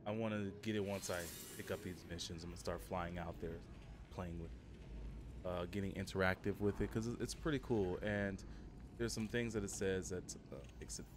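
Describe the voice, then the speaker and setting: A man giving casual, halting commentary as he livestreams a game and walks viewers through it, thinking aloud. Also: low voice, steady tone. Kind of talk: casual gameplay commentary and walkthrough. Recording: clearly noisy home stream audio.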